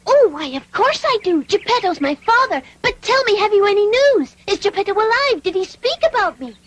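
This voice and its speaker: A woman voicing an earnest, excitable wooden puppet boy in a dubbed cartoon, childlike, exclamatory and innocent. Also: extremely high pitch, brisk. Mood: eager, pleading. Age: child figure.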